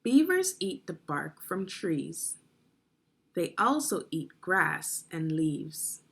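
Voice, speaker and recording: A woman's voice: low voice, sing-song, slow pace, vocal fry, fairly bright tone, slightly rough. A woman reading children's nonfiction aloud as a teacher, instructive and putting guiding questions to young listeners. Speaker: read-along narrator and reading teacher.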